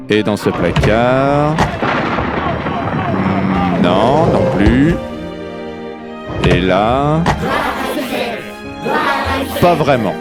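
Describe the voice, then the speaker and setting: A man's voice: mid-range voice, heavily acted. Man playing a bumbling, squeamish police inspector. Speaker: narrator and character in audio comedy. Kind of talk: comedic audio drama acting. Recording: music under the voice.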